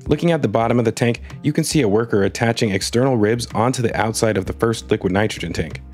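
A man's voice: medium pitch, quick pace, a little mellow, husky, faint music behind. Man narrating with wry storytelling asides. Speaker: space news video host. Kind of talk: scripted voice-over narration.

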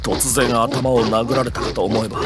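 A man's voice: medium pitch, fairly even intonation, moderate pace, close to an everyday voice. An actor playing a suave, unflappable military man in an anime audio drama, cool and flirtatiously teasing. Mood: bewildered, wry. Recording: music bed under the voice.

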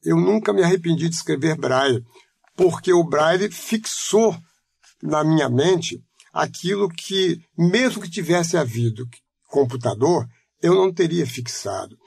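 Gravelly husky older man recalling his past. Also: a little high, darkish tone, dry recording. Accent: Rio de Janeiro Portuguese. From Brazil. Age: 70s. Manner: measured, reflective, explanatory, frequent "né?" tags. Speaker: interviewee, retired teacher. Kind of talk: oral history testimony.

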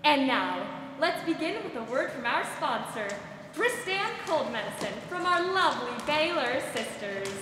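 A woman's voice: high-pitched, big pitch swings, moderate pace. A young woman playing a gracious, upbeat 1940s radio show host, reading scripted announcements in a folksy, polished way. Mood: welcoming, upbeat. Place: school theatre stage, indoors. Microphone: stand microphone. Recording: very echoey hall, faint noise.

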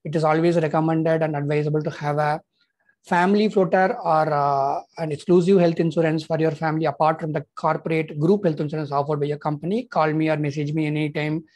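A man in his thirties from Tamil Nadu, speaking English with a Tamil accent, talking casually and haltingly to camera as a health insurance consultant, with many "uh" and "you know" fillers. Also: a little high, fairly even intonation, darkish tone. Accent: Indian English, Tamil. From Tamil Nadu, India. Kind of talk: casual informational vlog.

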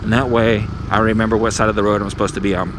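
A man's voice: fairly low pitch, level tone, rapid-fire. Chatty man riding a motorbike through traffic. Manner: easygoing, chatty, lots of "right?" tags. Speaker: motovlogger. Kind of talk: casual how-to narration while riding. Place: on a motorcycle in city traffic outdoors. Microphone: helmet or handlebar action camera. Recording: street and engine noise behind.

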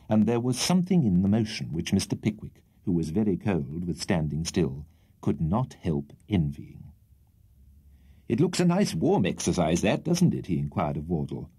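A man's voice: low-pitched, dark tone, slightly rough. A British man reading a literary audiobook as storyteller, in a precise, theatrical manner. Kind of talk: scripted literary audiobook narration.

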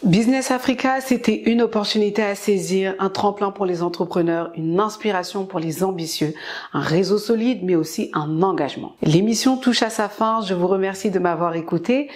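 A woman's voice: medium pitch, moderate pace, a little mellow, faint music behind. A young woman hosting a show, presenting a scripted piece to camera in a studio on a lapel mic. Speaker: host. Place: studio with light backdrop.

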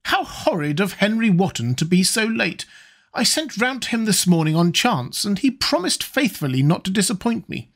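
A man's voice: sits high, big pitch swings, normal speed, a bit bright, voice pushed up, exaggerated voice. A man voicing an elderly aristocratic hostess who exclaims and scolds. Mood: teasing. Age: older.

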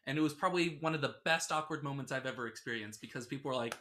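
A man with a mid-pitched voice talks casually as a podcast co-host. He speaks in a hesitant, halting way, close to a microphone in a small room. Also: bright, forceful tone. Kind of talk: casual chat. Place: small furnished room at home, indoors. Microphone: podcast microphone on a stand.

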